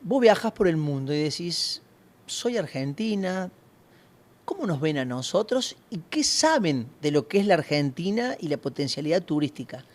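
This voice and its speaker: A man hosting a podcast interview, asking probing questions in quick strings. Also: fairly high pitch, very animated. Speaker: podcast host.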